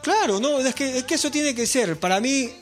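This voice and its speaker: A man in his forties speaks as a musician guest in a relaxed interview, his voice sitting high. He talks earnestly, with fillers and repeated words, and soft music plays faintly behind him. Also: brisk. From Peru. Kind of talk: casual interview chat.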